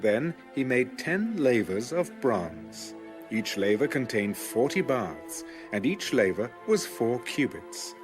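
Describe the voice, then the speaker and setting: A man reading scripture as scripted audiobook narration, measured and clearly enunciated. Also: medium pitch, big pitch swings, music in the background. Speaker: Bible narrator.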